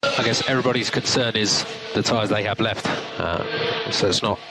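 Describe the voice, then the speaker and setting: Through very heavy radio noise comes a man with a low voice. He is a race engineer passing instructions to a driver over team radio. His delivery is calm, measured and reassuring. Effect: team radio transmission. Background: loud vehicle and radio noise.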